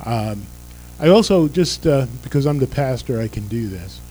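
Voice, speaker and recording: A man's voice: medium pitch, animated, normal speed, warm tone, faint music behind, low-quality recording. An older man, a pastor, losing his voice, leading a church service with prayer and folksy, joking announcements. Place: church sanctuary.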